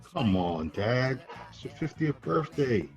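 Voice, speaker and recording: An actor as a coaxing young son, lively pitch. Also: male voice, slow pace. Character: warm, coaxing grown son, young man. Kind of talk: screenplay table read. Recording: dull lo-fi sound, faint music.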